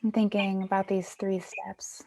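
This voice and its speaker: Woman, twenties, mid-pitched, some vocal fry, encouraging, reflective, online workshop facilitator. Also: level tone, measured pace, mellow. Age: 20s. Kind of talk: guiding an online youth creative workshop.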